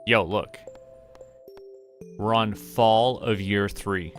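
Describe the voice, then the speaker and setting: A man's voice: on the low side, fairly even intonation, very slow, faint music behind. A man chatting casually on a livestream, rambling to himself as he goes about small tasks. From Canada. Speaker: streamer.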